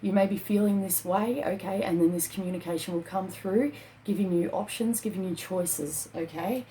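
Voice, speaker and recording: A woman's voice: medium pitch, moderate pace, dark tone, a little hiss or hum, low-quality recording. A woman in her thirties giving a casual tarot reading to camera at home, filling her talk with "okay" and "um". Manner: chatty, repeats "okay" and "um" often. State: hoarse, losing her voice. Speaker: tarot card reader. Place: small home room with bookshelves.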